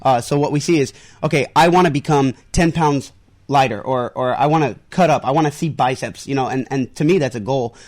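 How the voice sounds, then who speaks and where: Male radio co-host, mid-pitched, earnest, stumbles and repeats words. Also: a little fast, a little mellow. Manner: earnest, repeats words while thinking, many fillers. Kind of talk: radio talk show chat. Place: radio studio.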